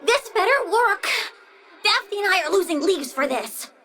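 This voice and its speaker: Girly plant villain kid for a video game, super high and swoopy, playful but threatening, bratty. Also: big pitch swings, a little slow, fairly bright tone, heavily acted. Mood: excited, petulant. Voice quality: girlish. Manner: playful, petulant, swings from cooing to threats. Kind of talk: video game character voice lines.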